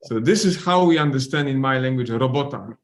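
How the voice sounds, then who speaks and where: A man whose native language is Polish, a surgeon commenting at a webinar, polite and hesitant, with many pauses and restarts. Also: medium pitch. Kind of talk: webinar discussion.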